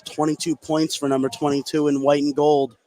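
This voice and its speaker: A man's voice: a little high, little pitch movement, a little fast, a little mellow, slight background noise. A man giving live analysis as the color commentator on a basketball broadcast. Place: indoor basketball gym, courtside.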